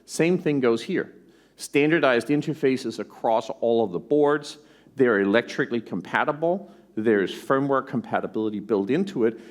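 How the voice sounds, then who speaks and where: A man from Denmark with an American accent gives a conference keynote, conversational and animated, tossing in rhetorical asides. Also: mid-range voice, moderate pace. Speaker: tech company executive presenting. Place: conference stage.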